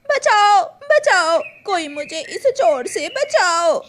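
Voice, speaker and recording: A woman's voice: sing-song, slow pace, a bit bright, heavily acted. A woman voicing a greedy, ageing female crow in a melodramatic, whiny cartoon manner. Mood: terrified pleading. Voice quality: tearful, high-pitched.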